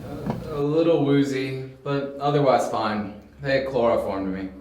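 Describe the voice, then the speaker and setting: A man's voice: mid-range voice, steady tone, measured pace, noisy background, lightly acted. A man playing a detective's easygoing, tech-savvy brother in an amateur audio drama, dry and unruffled. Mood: dryly amused. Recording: echoey room, amateur home recording.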